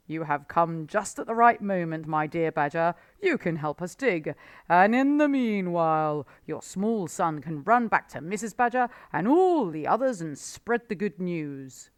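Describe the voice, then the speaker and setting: A woman voicing a sly, confident male fox who talks smoothly and persuasively in a storybook. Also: lowish voice, very animated, measured pace, no echo, studio quality.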